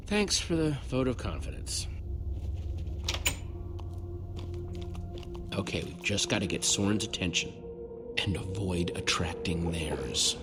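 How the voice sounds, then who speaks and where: A man playing an earnest, plucky young adventure hero in a video game, lightly wry, with very loud music behind him. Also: sits low, very animated, moderate pace. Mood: determined, wry. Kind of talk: natural video game voice acting.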